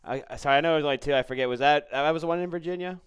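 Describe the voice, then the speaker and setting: A man hosting a casual interview, asking questions in a hesitant, halting way and giving short agreeing replies. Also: a little high, clear, resonant tone. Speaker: podcast host, interviewer.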